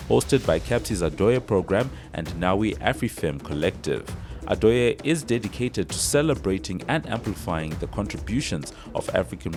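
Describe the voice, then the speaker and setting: A man reading a scripted introduction to a podcast series in a formal announcer manner. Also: deep voice. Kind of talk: scripted narration. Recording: faint music behind.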